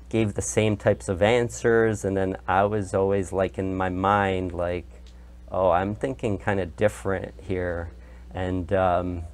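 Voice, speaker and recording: Slow, halting young man, podcast guest. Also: fairly low pitch, darkish tone. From Poland. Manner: hesitant, many fillers and restarts. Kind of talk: casual interview talk.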